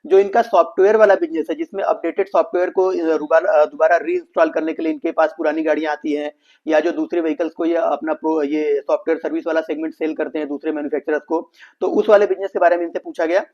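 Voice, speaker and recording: Fast-talking Indian man, slightly high voice. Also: fairly even intonation, darkish tone. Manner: explanatory, addressing viewers as friends, code-mixing English business terms. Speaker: financial analysis presenter. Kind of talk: explainer commentary on an earnings call. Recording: dull lo-fi voice recording.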